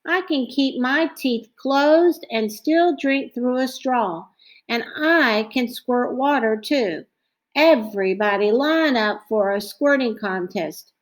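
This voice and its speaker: A woman's voice: a little high, slow pace, pitched above the performer's own voice. A woman voicing a bossy, taunting young schoolgirl in a storybook, with emphatic show-off delivery.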